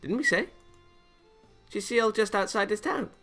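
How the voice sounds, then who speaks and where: A man voicing a teasing, determined young angel girl from a visual novel, brisk and matter-of-fact. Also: high voice, pushed and bright, pitched above the performer's own voice. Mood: bright, brisk. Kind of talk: visual novel character read-aloud. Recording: music behind the voice.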